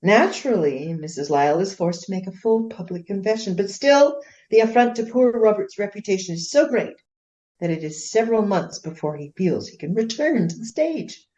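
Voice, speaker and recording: A woman playing a proud, indignant Regency gentlewoman in a radio play, speaking formally and emphatically in defence of her husband. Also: mid-range voice, animated, normal speed, muffled recording, lightly acted. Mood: haughty, affronted.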